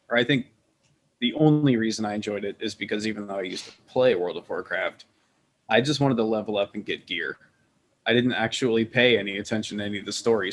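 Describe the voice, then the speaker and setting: A man co-hosting a casual gaming podcast, rambling with hesitant restarts. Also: fairly low pitch. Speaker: podcast co-host. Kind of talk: casual chat.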